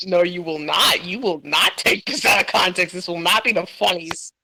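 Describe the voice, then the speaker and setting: A young woman hosting a casual podcast while gaming, with a loud voice, playful swearing and trash talk. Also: fairly low pitch, normal speed, gravelly, husky. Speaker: podcast host.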